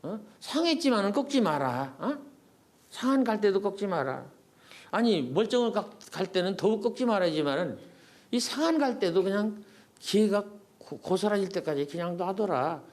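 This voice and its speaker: A man's voice: high voice, lively intonation, moderate pace, darkish tone. An older Korean man, a poet, giving a folksy public lecture to older adults, asking the audience questions and answering them himself. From South Korea. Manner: folksy, repeats words for emphasis.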